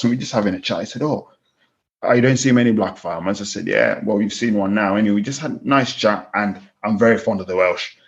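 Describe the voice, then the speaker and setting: A man in his thirties with a Kenyan accent, speaking as a guest in a candid interview, earnest and reflective, often restarting his sentences. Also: fairly low pitch. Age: 30s. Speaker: farm manager guest. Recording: slightly dull lo-fi sound.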